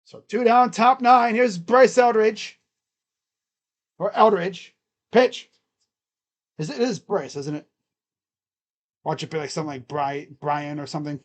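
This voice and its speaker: Loud, booming baseball stream commentator. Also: unhurried, pauses often. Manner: relaxed, chatty, hums between pitches. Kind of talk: casual live play-by-play reaction.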